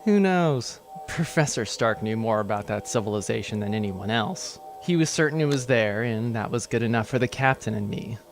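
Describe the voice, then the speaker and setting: A man's voice: medium pitch, expressive, normal speed, few pauses, soft background music, close to an everyday voice. A man playing a wry, scheming military officer and pilot in a sci-fi audio drama, dry and nonchalant. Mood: weary, dry.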